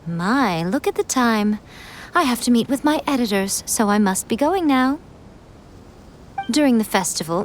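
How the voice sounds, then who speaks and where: A woman's voice: fairly high pitch, a little hiss or hum, natural voice. A woman playing a poised, teasing and self-assured noblewoman in a video game, speaking with playful elegance. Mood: breezy.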